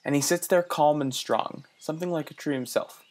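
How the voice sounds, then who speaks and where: A man's voice: on the high side, darkish tone, lightly acted. An actor playing an earnest young man from the city who muses aloud and stammers a little when he is unsure. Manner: earnest, halting with small stammers. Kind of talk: radio play acting.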